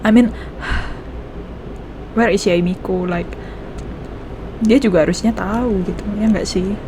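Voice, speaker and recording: A woman's voice: mid-range voice, unhurried, noisy background. A woman with an Indonesian accent giving casual let's play commentary, repeating herself and switching between two languages. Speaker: game streamer.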